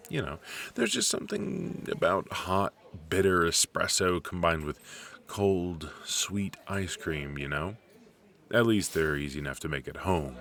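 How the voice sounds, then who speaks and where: Deep-voiced, rambling, flirty coffee date played by a man. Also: exaggerated voice. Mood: friendly, charmed.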